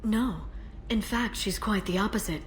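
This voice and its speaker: Woman acting a poised, medium-pitched alien broker. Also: moderate pace, slight background noise, lightly acted. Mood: pleasant, informative. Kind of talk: video game character acting.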